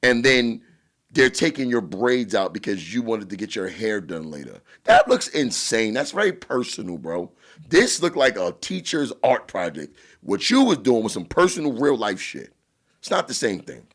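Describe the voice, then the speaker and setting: Male podcast co-host, New York accent, booming voice, lower register, very lively, blunt delivery. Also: normal speed. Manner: animated, blunt, emphatic, swears freely. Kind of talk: casual podcast chat.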